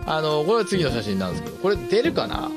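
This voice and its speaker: Male podcast co-host, around 30, slightly high voice, hugely lively intonation, casual explanatory storytelling. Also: fast. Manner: animated, explaining, conversational. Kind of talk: casual chat, telling personal stories. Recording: background music under the talk. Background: music.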